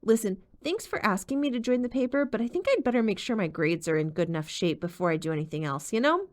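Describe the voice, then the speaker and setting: A woman voicing a polite, bright teenage girl detective in an audiobook. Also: medium pitch, animated, fast talker, voice pushed up, close to an everyday voice. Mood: polite cheerful.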